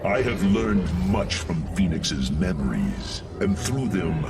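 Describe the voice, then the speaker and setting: An actor playing a proud, earnest robotic alien warrior in a video game, speaking in a solemn, declamatory way. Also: male voice, deep voice, sing-song, slow pace, gravelly, far from the mic, music in the background, full character voice. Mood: proud, resolute. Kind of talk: dramatic video game acting.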